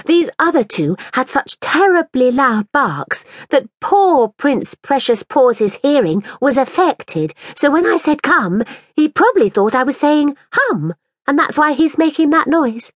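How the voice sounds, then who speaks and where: A woman's voice: high-pitched, very animated, a little slow, dry recording, clean studio sound, pitched above the performer's own voice. A woman voicing a haughty, boastful young girl with a pompous, grand manner in a storybook reading.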